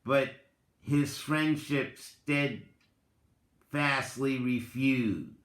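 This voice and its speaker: A man giving a dramatic reading with spoken commentary, deliberate and halting, in short phrases. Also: mid-range voice, fairly even intonation, a bit bright. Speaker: reader, content creator. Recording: roomy, echoing voice recording.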